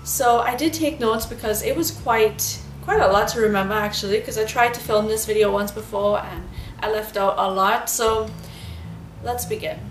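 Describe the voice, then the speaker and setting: A woman's voice: medium pitch, moderate pace, reverberant, a little hiss or hum, rough recording. A woman in her thirties with a Trinidadian accent, telling a rambling personal story in a casual vlog, full of "like" and "you know.". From Trinidad and Tobago. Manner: chatty, rambling, many fillers. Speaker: beauty vlogger.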